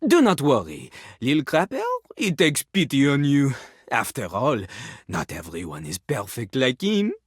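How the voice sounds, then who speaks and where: A woman voicing a cocky, theatrical male French rapping toilet in a video game, husky and pompous, with a French accent. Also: very deep voice, huge pitch swings, unhurried, no echo, studio quality, heavily acted. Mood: condescending. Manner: pompous, talks of himself in third person. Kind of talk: video game character acting with rapped verses.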